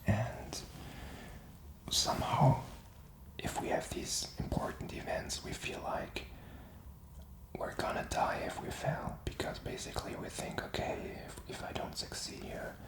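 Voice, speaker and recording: A man's voice: measured pace, echoey room, rough recording. A man whispering in a rambling, reflective and informal ASMR style, feeling his way through his thoughts. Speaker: ASMR content creator.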